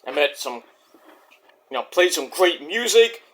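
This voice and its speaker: A man's voice: a little high, big pitch swings, a little slow. A middle-aged man with a Brooklyn New York accent, rambling boastfully through a casual wrestling review monologue. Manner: boastful, rambling, restarts and fillers.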